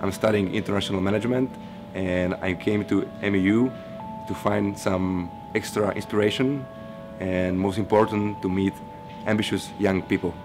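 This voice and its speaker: A young man from Slovakia, a management student, answering interview questions in a steady, measured way. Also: over music. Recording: noisy background rumble.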